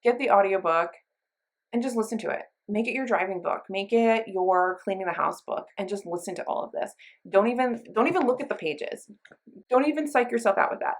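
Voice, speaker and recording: Female book reviewer, medium pitch. Informal talk to camera, enthusiastic and emphatic, loose slangy phrasing. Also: fairly quick. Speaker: book reviewer, channel host.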